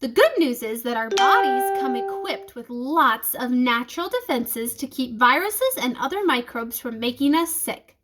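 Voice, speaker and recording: A woman's voice: high voice, expressive, normal speed, hardly any pauses, fairly bright tone, soft background music. A woman reading the part of an enthusiastic, reassuring female science teacher in a children's storybook, explaining things patiently.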